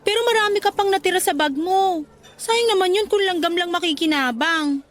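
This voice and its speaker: A woman's voice: very high-pitched, normal speed. A woman playing a sweet, teasing young worker being courted in a Tagalog radio romance, with a coy, coaxing way of talking. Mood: playful teasing.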